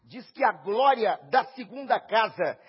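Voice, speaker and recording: A Brazilian man preaching in short phrases with a Brazilian Portuguese accent, pausing for an interpreter and rising to shouts. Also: high-pitched, lively intonation, breathy edge, lo-fi sound. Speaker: visiting preacher. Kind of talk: sermon with live interpretation.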